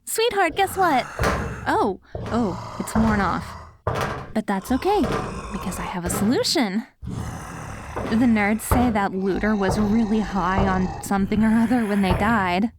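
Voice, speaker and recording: A woman playing an affectionate post-apocalyptic wife in an ASMR audio roleplay, coaxing and gently praising her ghoul husband. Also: mid-range voice, expressive, measured pace, noisy background, lightly acted. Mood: excited.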